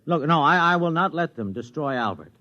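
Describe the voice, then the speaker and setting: A man's voice: a little high, expressive, a little fast, pushed and bright. An actor playing a desperate, broke businessman in a 1950s radio comedy, stammering and pleading in agitation. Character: flustered, desperate, broke businessman, a robot's exasperated boss. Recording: dull, band-limited vintage radio recording.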